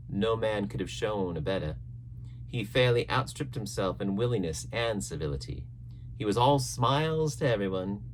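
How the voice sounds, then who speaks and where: A man reading an adventure novel aloud as scripted, expressive storybook narration. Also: on the low side, slight background noise, low-quality recording. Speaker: audiobook narrator.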